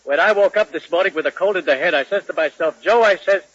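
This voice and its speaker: A glum, slangy average Joe with a head cold in a radio sketch. His fairly high voice sits in a dry, muffled old broadcast. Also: quick pace, a bit bright, dry recording. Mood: resigned. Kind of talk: 1940s radio comedy acting. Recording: dull 1940 radio broadcast.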